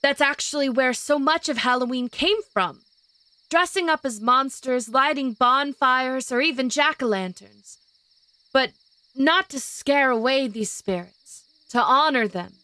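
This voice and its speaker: Bookish, reserved young witch, played by a woman, high voice, earnest explainer, dry sound, audio drama. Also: unhurried, sharp tone, no echo. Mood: earnest, solemn.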